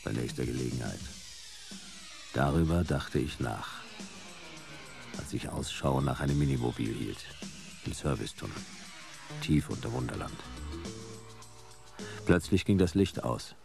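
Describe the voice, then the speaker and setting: An actor playing a laconic private detective who narrates a noir radio play in clipped, deadpan fragments, wry and weary. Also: male voice, a bass voice, measured pace, vocal fry, pauses often, exaggerated voice. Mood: brooding, laconic. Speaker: narrator and lead character. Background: music and vehicle sound effects.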